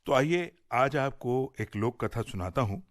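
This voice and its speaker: Animated male radio storyteller. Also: medium pitch, a little slow, no echo, clean studio sound. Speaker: radio storyteller and series presenter. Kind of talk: expressive Hindi folk tale narration.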